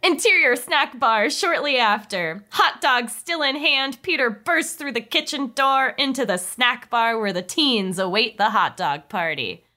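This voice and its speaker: High, bright, animated woman narrator. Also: a little slow, few pauses. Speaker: narrator reading stage directions. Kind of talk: comedic script narration at a table read.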